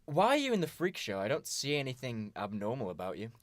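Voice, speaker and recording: Male actor as suspicious detective inspector, medium pitch, curt, probing, radio drama, dry recording. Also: huge pitch swings, fast talker, no room sound. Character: brusque, suspicious male police inspector questioning circus performers. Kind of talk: amateur 1920s-set radio drama acting.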